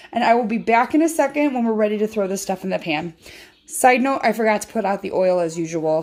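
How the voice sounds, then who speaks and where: A woman hosting a casual home cooking tutorial from her kitchen, rambling and chatty with lots of ums, filmed on a handheld phone. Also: fairly high pitch. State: feels her voice sounds off. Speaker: home cooking channel host.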